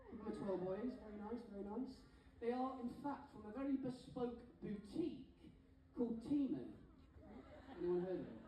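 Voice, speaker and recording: London guy joking around on stage, mellow voice, big echoey theater. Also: high voice, animated, normal speed, far from the mic, a little hiss or hum. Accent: London English. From England. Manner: cheeky, joking showman. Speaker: stage show singer and compere. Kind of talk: live stage banter. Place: ship theater. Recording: echoey theater recorded from afar.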